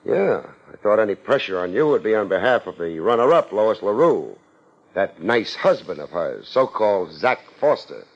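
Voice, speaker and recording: A man's voice: on the low side, big pitch swings, normal speed. An American man playing a hard-boiled, wisecracking private investigator in a 1950s radio drama, sardonic and unruffled. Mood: sardonic, pressing. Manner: sardonic, unruffled, wry first-person narration and blunt questioning. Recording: muffled 1950s radio recording with music.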